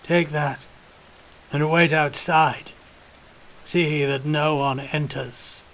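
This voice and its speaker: A man's voice: fairly high pitch, steady tone, slow, a bit bright, slight background noise, pitched above the performer's own voice. A man voicing a calculating, fanatical old regiment officer, wheezy and intoning like a preacher, in an audiobook. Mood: commanding. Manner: intoning, preacherly, rustic archaic turns of speech.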